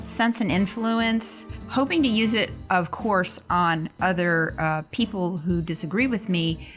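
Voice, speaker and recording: A woman describing her character as a player in a casual tabletop game, halting and thoughtful. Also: lowish voice, soft background music.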